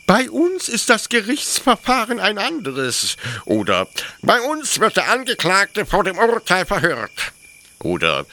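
An actor playing a fanatical, pleading officer in a German radio play, speaking in an impassioned, halting and insistent way. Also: male voice, mid-range voice, bright, forceful tone, heavily acted. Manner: impassioned, halting, insistent, mimicking others in mockery. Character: fanatical, pleading male officer devoted to an execution machine.

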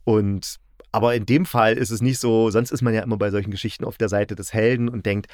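A man's voice: lowish voice, steady tone, brisk, darkish tone, no echo, studio quality. A man in a casual podcast review chat, often breaking off and restarting his sentences as he explains. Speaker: podcast host reviewing audio dramas.

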